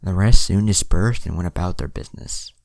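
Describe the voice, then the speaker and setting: Very deep-voiced man narrating a story. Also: a little fast, vocal fry, darkish tone, no echo, clean studio sound. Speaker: narrator reading fan fiction. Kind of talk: scripted story narration with character dialogue.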